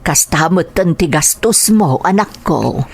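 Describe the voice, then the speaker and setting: Woman acting a warm Filipino mother. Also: lowish voice, animated, measured pace, vocal fry, slightly rough, full character voice. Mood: affectionate. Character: warm, attentive mother of a grown son. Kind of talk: dramatized audio drama dialogue.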